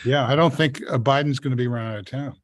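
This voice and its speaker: A man's voice: medium pitch, steady tone, fast talker. A man hosting a panel discussion, mostly with short, agreeable interjections. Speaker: host.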